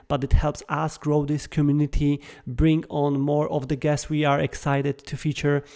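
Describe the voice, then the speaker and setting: A man hosts an interview show, upbeat and chatty. His voice sits a little higher than usual for a man. He stumbles now and then, repeating small words. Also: vocal fry, a little mellow. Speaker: show host. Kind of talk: casual interview hosting with a scripted intro.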